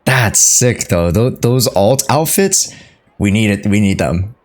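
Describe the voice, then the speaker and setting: A man's voice: on the low side, animated, slow. A man giving casual, slangy reaction commentary as a game streamer, often murmuring agreement.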